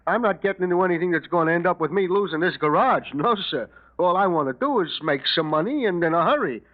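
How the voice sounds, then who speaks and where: A desperate, weak-willed small businessman in an old radio crime drama. He is nervous and halting, his fairly high voice rising and dipping a lot, heard through a muffled vintage recording. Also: animated. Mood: nervous, alarmed. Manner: nervous, stammering, hesitant. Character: anxious, desperate male garage owner, weak-willed and scheming. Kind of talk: 1940s radio mystery acting.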